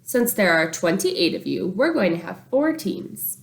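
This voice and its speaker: Woman playing a brisk, lively gym teacher. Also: on the low side, vocal fry, heavily acted. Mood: brisk announcing. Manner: projecting, announcing to a class. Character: brisk male gym teacher organizing school teams.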